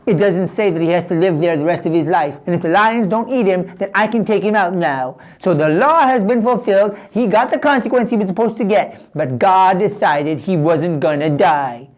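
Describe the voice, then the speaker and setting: A woman's voice: fairly low pitch, moderate pace, few pauses, darkish tone, lightly acted. A woman voicing an anxious but commanding ancient king in a children's Bible story. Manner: exclaiming, emotional.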